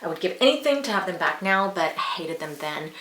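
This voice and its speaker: A woman's voice: lowish voice, animated, brisk, a bit bright. A woman in her forties chatting casually and candidly to viewers as a beauty vlogger, rambling with asides. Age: 40s. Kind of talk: casual chat to viewers while applying makeup. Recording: roomy echo with faint hiss.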